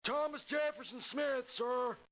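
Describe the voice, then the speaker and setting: An actor playing an eager young recruit who shouts his replies. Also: extremely high pitch, steady tone, a little slow. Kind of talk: 1990s adventure game voice acting.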